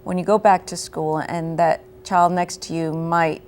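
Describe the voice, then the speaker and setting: Lowish-voiced woman explaining a program. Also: fairly even intonation, measured pace, darkish tone, slight background noise. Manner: clear, explanatory, gives examples. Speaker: program coordinator guest. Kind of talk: explaining in an interview. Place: local TV studio.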